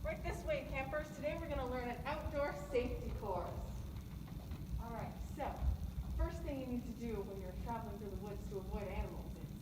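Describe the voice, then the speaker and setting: A woman's voice: fairly high pitch, fairly quick, natural voice. A woman improvising a fussy, stubborn, self-assured camp ranger who is obsessed with glitter and over-explains everything. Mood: instructive, perky. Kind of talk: improvised student comedy scene. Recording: echoey room, distant mic, loud noise.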